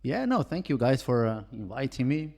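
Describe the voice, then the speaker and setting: Brazilian guy on a podcast, talks in loops, restarts a lot, middle pitch. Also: sing-song, moderate pace, gravelly, darkish tone. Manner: rambling, many restarts and fillers. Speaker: guest. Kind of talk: casual chat.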